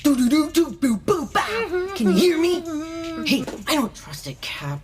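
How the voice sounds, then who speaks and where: A woman's voice: high-pitched, sing-song, moderate pace, music in the background. An actress playing a tough, suspicious female starship lieutenant, a bossy and playful sidekick in a comedic sci-fi audio drama.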